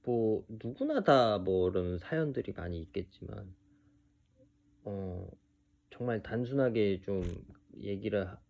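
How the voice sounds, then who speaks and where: A man in his early thirties giving an unscripted personal monologue, speaking haltingly with hesitant pauses. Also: lowish voice, expressive, creaky, phone-quality sound. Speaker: video creator telling his own story.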